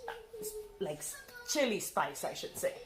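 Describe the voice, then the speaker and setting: A young woman from Cuba co-hosting a casual family video, talking in a lively, expressive way. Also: mid-range voice, normal speed. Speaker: co-host of a family channel. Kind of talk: casual chat. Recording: roomy echo, faint music.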